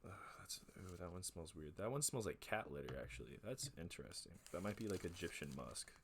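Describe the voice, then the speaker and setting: Laid-back deep-voiced young man hosting. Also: big pitch swings, brisk, creaky, a little hiss or hum. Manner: rambling, self-mocking and laid-back. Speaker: host. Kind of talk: casual chat between songs.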